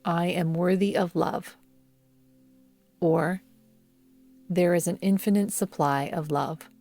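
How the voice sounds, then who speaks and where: A woman guiding a yoga nidra meditation in a soothing, measured manner, leaving long pauses between phrases. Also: on the low side, warm tone. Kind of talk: guided meditation narration. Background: background music.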